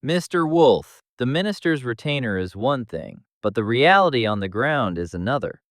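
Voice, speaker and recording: A man's voice: mid-range voice, big pitch swings, moderate pace, dry recording, clean studio sound, close to an everyday voice. A man playing a seasoned, grim retired intelligence agent in a detective audio drama, serious and hard-boiled. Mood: grim, urgent.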